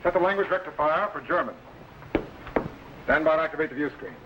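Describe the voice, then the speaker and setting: An actor playing a commanding, exacting time-machine captain in an old-time sci-fi serial, giving clipped, authoritative orders. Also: male voice, a little high, brisk, lo-fi sound, natural voice. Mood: brisk, commanding. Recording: noisy old broadcast recording.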